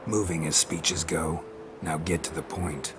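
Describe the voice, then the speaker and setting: A man's voice: extremely low pitch, unhurried, background noise. A man playing a stoic, world-weary monster hunter in a video game cutscene, speaking in terse, dry lines. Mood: dry, skeptical. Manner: terse, deadpan.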